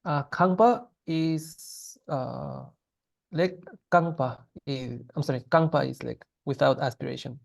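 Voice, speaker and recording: A man teaching a language class, explaining grammar patiently in a relaxed way with frequent pauses and restarts. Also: fairly high pitch, creaky.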